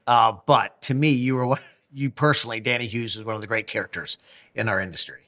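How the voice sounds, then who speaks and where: A man's voice: lowish voice, fairly quick, bright, forceful tone. A man hosting a podcast interview, upbeat and playful, and he often jumps in with remarks. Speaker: podcast host. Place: hotel interior.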